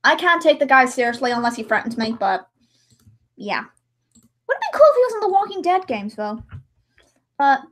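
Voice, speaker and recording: High-pitched woman chatting casually on a livestream. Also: sing-song, moderate pace, long pauses, a bit bright. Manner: rambling, theatrical, with sudden mock-dramatic outbursts. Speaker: livestream host and amateur voice-over editor. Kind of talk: casual livestream chat while editing.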